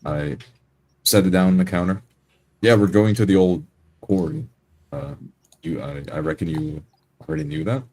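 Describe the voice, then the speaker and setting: Very deep, mellow male roleplayer. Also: animated, normal speed, long pauses, a little hiss or hum. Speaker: player in a tabletop game. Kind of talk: improvised roleplay, describing his character's actions.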